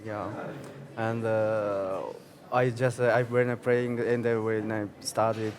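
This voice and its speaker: A halting young man speaks very slowly and searches for his words. His voice is lowish. Steady background noise runs under it. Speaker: pianist guest.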